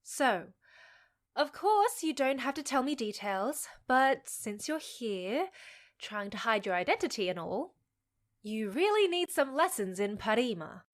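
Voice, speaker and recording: Casting: actress for a street-smart, frank half-fae young woman in a fantasy audio drama; higher-pitched, wry, conversational, supportive. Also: sing-song, a little slow. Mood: wry, bargaining.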